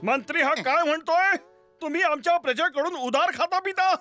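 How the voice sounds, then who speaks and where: A man's voice: very high-pitched, normal speed, sharp tone, soft background music. A man voicing a pompous, hot-tempered cartoon fellow who believes he is a king, in grand declamatory speech. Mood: haughty. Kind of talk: exaggerated cartoon dub acting.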